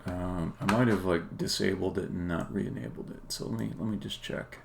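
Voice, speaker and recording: Low, gravelly man thinking aloud. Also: steady tone, moderate pace. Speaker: game developer, live streamer. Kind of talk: casual live-coding commentary, explaining on a stream. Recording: clear background noise.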